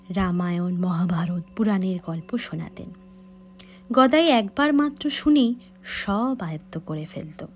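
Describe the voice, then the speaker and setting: A woman narrating a devotional story for children in a gentle, measured reading style with soft breathy passages. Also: medium pitch. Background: faint background music.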